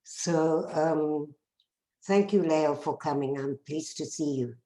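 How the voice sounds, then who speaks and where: An older South African woman speaks with a low, mellow voice, earnest and unhurried, often pausing on small fillers. She tells her own story to a listening group. The sound carries an echoey room around her. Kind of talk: personal testimony talk.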